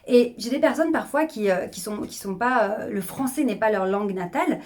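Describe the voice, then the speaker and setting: A middle-aged woman speaking as a public speaking coach, energetic and emphatic, often repeating phrases to drive a point home. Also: on the high side, brisk. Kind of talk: coaching video monologue.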